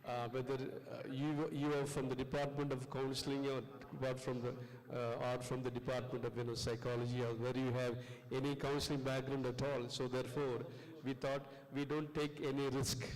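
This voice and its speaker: A man with a South Indian accent hosting a class session, giving informal instructions in a halting, repetitive way. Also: medium pitch, level tone, a little mellow. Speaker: lecturer and session host. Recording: long echoing hall reverb.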